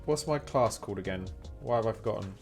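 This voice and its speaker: A man's voice: on the low side, fast, vocal fry, darkish tone. A man chatting casually and replying to viewers as he live streams a game. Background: music.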